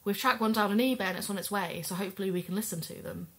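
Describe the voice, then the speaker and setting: A sincere woman played by an actress co-hosts an investigative podcast in an audio drama. Her pitch is average and her manner determined. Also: very fast, fairly bright tone, close to an everyday voice. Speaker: podcast co-host.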